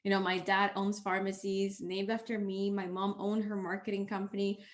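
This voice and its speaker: A woman's voice: medium pitch, moderate pace, echoey room. A young woman from the Dominican Republic, a startup co-founder answering interview questions with lots of energy. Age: young adult. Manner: energetic, lively.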